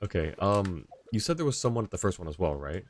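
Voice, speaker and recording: Low-voiced man streaming a game. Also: sing-song, fast, a little hiss or hum. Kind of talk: casual live gameplay commentary, chatting with viewers and reading dialogue aloud.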